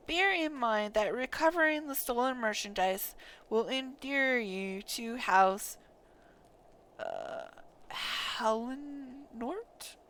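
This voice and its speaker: A woman livestreaming a game playthrough, reading dialogue aloud in a theatrical, deliberate way with muttered asides. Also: on the high side, fairly bright tone, poor audio. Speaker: game streamer.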